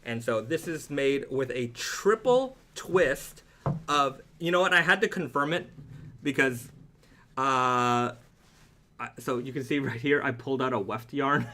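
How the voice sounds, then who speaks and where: Bright-voiced man hosting a casual stream. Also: mid-range voice, animated, a little slow, a little hiss or hum. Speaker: live stream host. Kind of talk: casual product chat.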